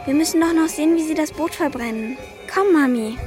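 Female performer as little girl, very high voice, restless, demanding, radio play, faint music. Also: a little fast, close to an everyday voice. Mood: excited, insistent. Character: small girl, restless, whiny, insistent, excitable. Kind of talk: radio drama acting.

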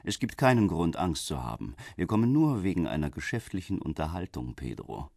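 A police heavy in a radio crime play. The voice is extremely deep and gravelly, with swooping intonation. He is falsely polite and quietly menacing. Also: very animated, a little fast, no echo, studio quality, heavily acted. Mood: smoothly menacing. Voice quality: gravelly, husky. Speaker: actor. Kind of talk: radio crime drama dialogue.

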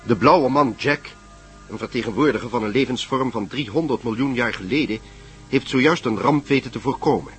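A man narrates the opening recap of a vintage radio play, measured and dramatic. His voice sits in an ordinary middle pitch. Faint music plays behind him. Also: a bit bright.

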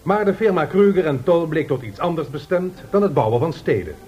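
Brisk, animated male narrator. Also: fairly high pitch, muffled recording. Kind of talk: scripted dramatic documentary narration. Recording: radio drama with music behind.

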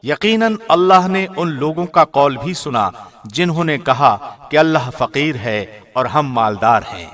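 A man giving a formal, scripted reading of a scripture translation in a measured, solemn manner. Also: on the high side, animated, a bit bright. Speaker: translation reader, radio host.

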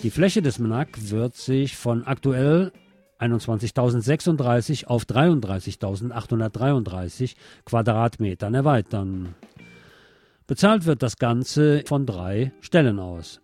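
A man's voice: medium pitch, lively intonation, unhurried, a little mellow. A man presenting scripted local radio news with dry, wry asides. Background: plucked guitar music.

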